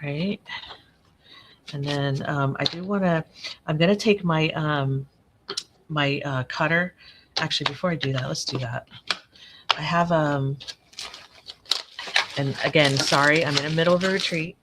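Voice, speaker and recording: A woman with a raspy, allergy-worn voice, rambling and correcting herself as she talks through a live crafting tutorial at her desk. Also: low-pitched, fairly even intonation, a little slow, long pauses, noisy background. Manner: chatty, rambling, self-correcting. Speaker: craft channel host.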